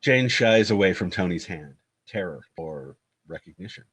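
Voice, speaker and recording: A man's voice: low voice, lively intonation, normal speed, a bit bright, breathy edge. A man reading screenplay stage directions as narrator, in clipped, descriptive scripted phrases.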